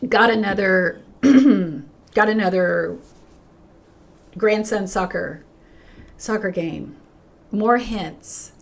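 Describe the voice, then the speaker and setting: An older woman is talking on her own livestream, her voice worn hoarse and fading. She speaks with earnest emphasis, often stopping to restart a phrase, and her pitch lifts brightly when she greets people in the chat. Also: drawn-out words, pauses often. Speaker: pastor hosting a livestream. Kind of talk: unscripted livestream talk, reading chat comments.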